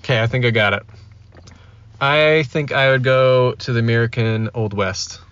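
A man as a podcast guest in casual chat, giving brief, low-key answers. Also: normal speed, a bit bright, clear, resonant tone, dry recording, lo-fi sound.

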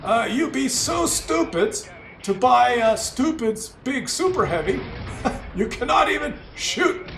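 Very high-pitched foreign-accented game commentator. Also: male voice, very animated, a little slow, creaky, a bit bright, faint music behind, heavily acted. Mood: excited, mocking. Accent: put-on foreign. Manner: broken grammar, exclaiming and gloating. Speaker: host. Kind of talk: comic commentary on a game replay. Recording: roomy, distant mic with faint noise.

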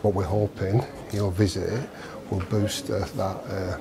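A middle-aged pastor with a Lancashire accent, talking earnestly and warmly with visitors, picked up by a distant camera. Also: male voice, deep voice, level tone, a little slow, vocal fry. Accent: Lancashire English. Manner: warm, earnest and effusive. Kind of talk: casual chat with visitors. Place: large busy community hall. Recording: noisy crowd behind.

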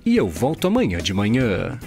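A man's voice: on the low side, huge pitch swings, moderate pace, a little mellow, soft background music. A Brazilian man hosting a daily tech news podcast, chatty and informal, peppering his reading with little tag words. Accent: Brazilian Portuguese. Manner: casual, fillers like "né" and "aí".

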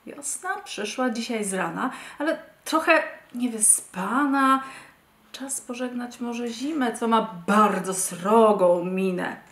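A woman in her forties reading a rhymed children's story expressively, with deliberate dramatic pauses, in an echoey room. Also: a little high, a bit bright. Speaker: storybook reader. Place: indoor wood-panelled room.

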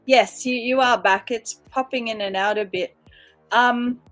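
Casual female host, forties, chatty online interviewer on a home video call, slightly higher voice. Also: fairly even intonation, a little slow, a bit bright, faint music behind.

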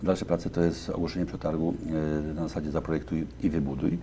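Very deep-voiced man, halting answers. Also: steady tone, noisy background. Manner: halting, many fillers and restarts. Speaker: deputy county official. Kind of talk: studio interview answers. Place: local TV studio. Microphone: lapel mic.

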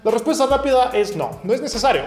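A young man, a nutrition educator, speaking to camera in a small home room and working through common questions one by one in a clear, orderly way. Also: very high-pitched, sing-song, fast talker, roomy sound, faint music behind. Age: young adult. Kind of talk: explainer video to camera. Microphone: camera facing him at close range.

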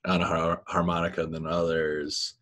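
A man with a very low voice talks in a relaxed, meandering way, leaning on "you know" and "like" as he answers. He is in his thirties and speaks into a laptop mic on a video call from a small room. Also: moderate pace. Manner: relaxed, rambling, many fillers. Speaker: musician guest. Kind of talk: casual video-call interview. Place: small room with blinds, indoors. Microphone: laptop webcam mic on video call.

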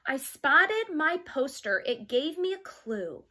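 Female children's read-along teacher, thirties, bright tone, swooping sing-song delivery, engages listeners directly. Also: high voice, slow. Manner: animated, sing-song, asking the listeners questions. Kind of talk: expressive read-aloud to young children with chatty asides. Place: small child's bedroom, indoors.

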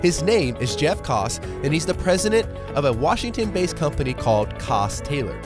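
A male podcast host with a cold, a little congested and froggy. His pitch moves a lot as he speaks in an upbeat, encouraging way. Music plays clearly behind his intro. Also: very animated, moderate pace. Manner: upbeat, affirming, frequent "yeah".

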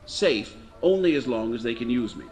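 A man acts a charming racketeer in a radio drama, jaunty and glib, with a cynical edge. His voice sits at middle pitch with light music behind. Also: expressive, moderate pace, darkish tone, natural voice.

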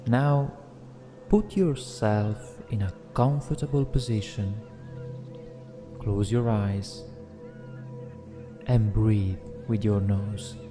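A man narrating a guided meditation in a gentle, soothing, deliberate way, leaving long pauses between phrases. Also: fairly low pitch. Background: meditation music with singing bowls.

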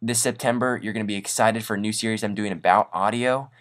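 A man with a fairly low voice narrates a screencast tutorial. He talks informally and casually, like a host walking a viewer through software, with an upbeat and self-deprecating manner. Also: fairly even intonation, quick pace. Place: voice-over on a screen recording.

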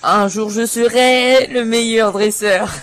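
A man half singing, half reciting a cartoon theme in a halting, self-conscious amateur way. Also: very high-pitched, steady tone, fairly bright tone, soft background music.

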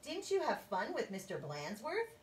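A woman voicing a sweet, gentle schoolteacher who talks calmly, heard from a distance in a roomy space. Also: on the high side, normal speed. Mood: puzzled. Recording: roomy, distant, faint hum.

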